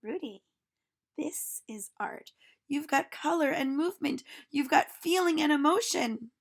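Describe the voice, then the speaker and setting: A woman reading a storybook as a self-important male artist who explains things in a haughty, measured way. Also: high-pitched, lively intonation, gravelly, full character voice. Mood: encouraging. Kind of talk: storybook read-aloud.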